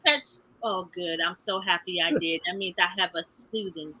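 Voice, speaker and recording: A woman's voice: on the low side, steady tone, normal speed, noisy background. A woman from Maryland co-hosting a livestream, chatting casually and repeating herself as she talks. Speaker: co-host of a livestream.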